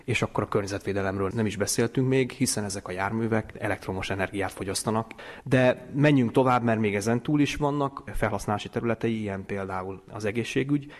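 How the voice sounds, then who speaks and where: A man speaking in an interview, explaining things at length in a conversational way. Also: lowish voice.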